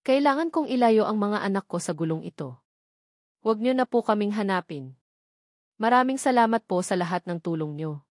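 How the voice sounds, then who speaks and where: A woman's voice: medium pitch, lively intonation, moderate pace, dry recording, clean studio sound, lightly acted. A woman voicing a heartbroken young mother reading out her farewell letter, humble and pleading. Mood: sorrowful, apologetic.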